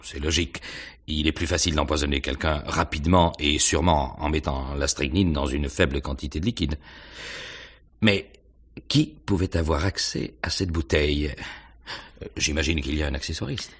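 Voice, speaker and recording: An actor playing a probing, pensive police inspector in a French radio mystery, questioning in a hesitant, musing way with little hums and pauses. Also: male voice, very deep voice, husky.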